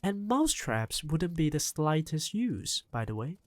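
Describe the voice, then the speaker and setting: A reader voicing an excitable young boy in an audiobook, talking eagerly and shouting with excitement. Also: mid-range voice, unhurried, no echo. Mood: earnest. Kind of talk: audiobook character reading.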